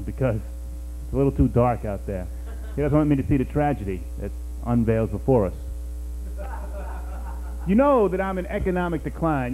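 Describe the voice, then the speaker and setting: Self-mocking stand-up comic with a mellow voice. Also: male voice, a little high, a little hiss or hum, rough recording. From New York. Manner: rambling, self-mocking, talks to the crowd. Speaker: stand-up comedian. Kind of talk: stand-up routine. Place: small cafe stage, 1991.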